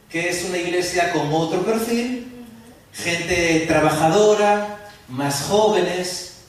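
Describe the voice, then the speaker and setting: A man preaching as a pastor, lively, asking the congregation questions and joking with them. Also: high-pitched. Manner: lively, asks the audience questions and jokes. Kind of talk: sermon to a church gathering. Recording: echoey hall from far off.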